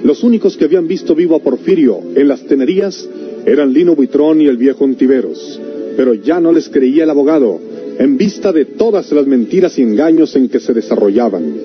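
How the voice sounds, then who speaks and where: A man's voice: mid-range voice, animated, brisk. A Mexican man giving solemn, declamatory scripted narration for an old-time radio serial. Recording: muffled old radio recording. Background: prominent music.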